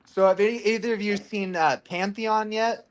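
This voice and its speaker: A man's voice: high voice, steady tone, moderate pace. A man at home on a group call, talking in a laid-back, profane way and laughing often. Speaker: call participant. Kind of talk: casual group chat.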